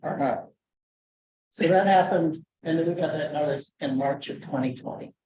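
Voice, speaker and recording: A soft-spoken man walks a meeting room through his education program, chatting along with asides and plenty of fillers. He sounds muffled and a bit distant, with the room's echo hanging around him. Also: on the high side, normal speed. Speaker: conservation district educator. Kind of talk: conference presentation.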